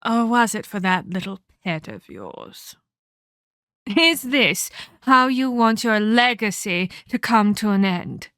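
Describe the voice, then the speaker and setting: A woman's voice: medium pitch, big pitch swings, fairly bright tone, smooth, dry recording, studio quality, exaggerated voice. A woman voicing a menacing female vampire captor in a scripted ASMR roleplay, theatrical and mockingly sweet as she scolds and condescends. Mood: angry scolding. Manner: theatrical, mock-polite, slow deliberate scolding.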